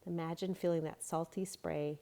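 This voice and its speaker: Woman, slightly low pitch, children's yoga teacher, storybook read-aloud, simple gentle instructions. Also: fairly even intonation, normal speed, gravelly.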